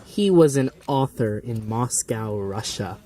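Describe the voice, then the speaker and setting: A man's voice: medium pitch, animated, unhurried, dark tone. Distant-miked man presenting amateur news. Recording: distant mic, music behind. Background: music.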